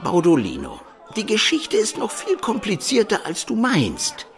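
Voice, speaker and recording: A shrewd medieval townsman in a radio drama. His slightly high voice swings widely as he explains emphatically and makes sure he is followed, with faint music behind. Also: wildly swooping pitch, measured pace, a bit bright, exaggerated voice. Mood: shrewd, explaining. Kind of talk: radio play acting.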